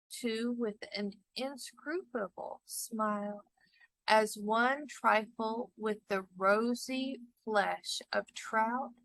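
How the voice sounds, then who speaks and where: A woman reading a literary short story aloud with deliberate, expressive, dramatic phrasing. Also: on the high side, no room sound, rough recording. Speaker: story reader.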